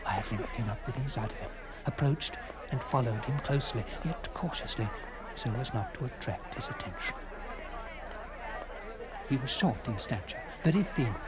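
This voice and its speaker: A man reads a gothic tale in a theatrical, deliberate manner, leaving dramatic pauses between phrases. His voice sits at a medium pitch, with clearly audible ambient noise behind it. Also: lively intonation, a crowd in the background. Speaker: narrator. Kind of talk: dramatic first-person gothic story narration.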